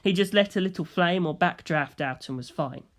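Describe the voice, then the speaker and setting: A narrator reading a fantasy story aloud in a scripted storytelling style, at a medium pitch. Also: moderate pace. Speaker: audiobook narrator.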